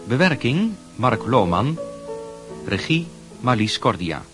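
Animated male announcer over guitar music. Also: medium pitch, takes it slowly. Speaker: announcer of the radio play. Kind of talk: scripted title and credits announcement.

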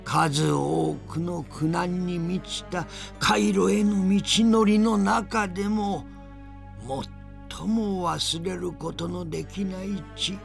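An actor playing a spirited old grandfather in an audio drama, narrating his memories with theatrical, deliberate pauses and a gravelly voice. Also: sits high, steady tone, soft background music, heavily acted. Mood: musing. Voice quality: gravelly, creaky. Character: spirited old grandfather reminiscing fondly about past adventures.

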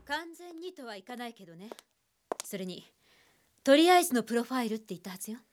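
An actress playing a composed, shrewd criminal profiler and psychiatrist in a radio drama, speaking in a cool, analytical way. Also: female voice, on the high side, big pitch swings, quick pace, heavy background noise. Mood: measured, analytical.

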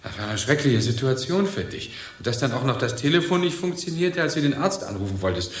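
An actor in a German radio crime play playing a brooding, insistent widower, speaking in a deliberate, probing and emphatic way. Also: male voice, medium pitch, animated, echoey room, slight background noise, lightly acted. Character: suspicious, insistent widower, brooding over his wife's accident.

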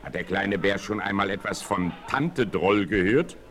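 An actor playing a bold, principled frontier hunter with a firm, authoritative manner in a 1960s radio play. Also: male voice, fairly low pitch, a little slow, a bit bright. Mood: calm, respectful. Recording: vintage vinyl radio play, faint noise.

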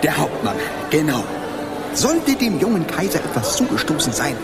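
A man's voice: on the high side, huge pitch swings, moderate pace, gravelly, exaggerated voice. An actor playing a sly, fawning male court astrologer in a radio play, oily and soothing with exaggerated delivery. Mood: nervous, evasive. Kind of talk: German radio play acting. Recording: loud music and crowd behind.

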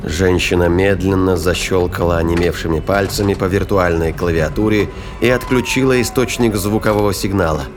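A man's voice: deep voice, slight background noise. A man narrating an audio drama in a dramatic, scripted storytelling style. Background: music.

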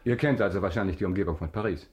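A man's voice: sits low, rapid-fire, darkish tone, breathy edge. An actor playing a commanding aristocratic count in a German radio drama, polished, ironic and probing in his questions. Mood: cool, commanding.

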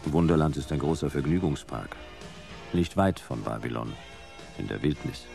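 An actor playing a laconic, world-weary, sardonic private detective in a hard-boiled German radio play, dry and deadpan. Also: male voice, very deep voice, vocal fry, exaggerated voice.

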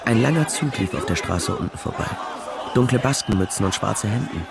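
An actor playing a wry, worldly private detective, narrating a radio crime drama with dry understatement. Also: male voice, fairly low pitch, expressive, fairly quick, vocal fry, over a noisy crowd, very noisy. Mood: narrating, restrained. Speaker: narrator and lead character.

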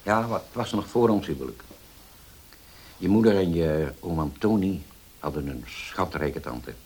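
An actor in a radio play as a grave, troubled widowed father, speaking haltingly and solemnly with long pauses. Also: low-pitched, animated, a little mellow, slightly rough, exaggerated voice. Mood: grave, recounting. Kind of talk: Dutch radio play acting. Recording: hissy old radio play recording.

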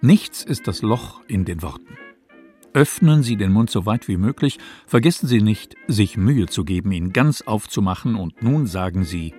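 A man giving a deliberate scripted reading of a literary text for radio. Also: lowish voice, animated, warm tone, soft background music. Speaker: reader of literary texts.

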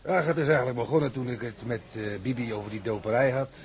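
An actor playing a practical, good-natured and loyal ship's bosun in a Dutch radio drama. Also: male voice, mid-range voice, quick pace, slight background noise, close to an everyday voice. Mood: matter-of-fact.

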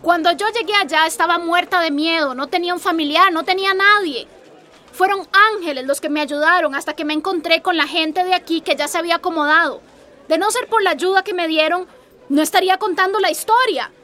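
A woman's voice: very high-pitched, fairly quick, bright, forceful tone. A woman playing a compassionate, anxious migrant mother in a radio drama, speaking with earnest emotion. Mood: heartfelt. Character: worried, compassionate migrant mother standing her ground. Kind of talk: Spanish-language radio drama acting.

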